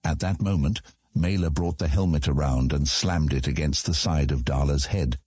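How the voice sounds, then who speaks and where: A man with a husky voice reading scripted fantasy story narration as an audiobook narrator. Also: rumbling low, moderate pace, darkish tone, no echo, studio quality.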